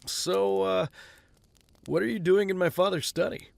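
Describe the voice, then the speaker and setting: An actor playing a flippant, self-mocking rich playboy in an audio drama. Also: male voice, sits high, fairly even intonation, a little slow, gravelly, heavily acted. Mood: breezy. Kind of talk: fan audio drama acting.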